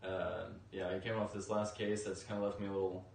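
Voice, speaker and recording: Male interviewee, thirties, low-pitched, informal chat, distant mic with faint background noise. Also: level tone, brisk, gravelly, darkish tone, echoey room. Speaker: interview guest, novice podcast voice actor. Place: indoors on a sofa in a living room.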